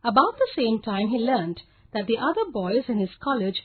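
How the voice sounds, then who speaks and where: A woman's voice: fairly high pitch, sing-song, moderate pace, husky. A woman narrating a scripted story for children as a storyteller. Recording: phone-like narrow, lo-fi sound.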